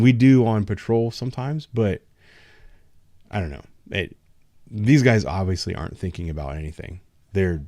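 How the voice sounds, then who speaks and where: A man with a low voice talks loosely and frankly, a patrol officer chatting on a casual livestream. He hedges with ums and restarts his sentences. The sound is dry and close. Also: a little mellow, dry recording. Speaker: patrol officer, show host.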